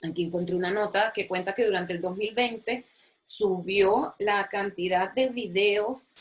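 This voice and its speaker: A woman's voice: medium pitch, unhurried. A woman teaches a recorded university lecture from her computer. She talks in a chatty, enthusiastic way. She often repeats words and uses many fillers. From Chile. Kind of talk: recorded online lecture with screen sharing.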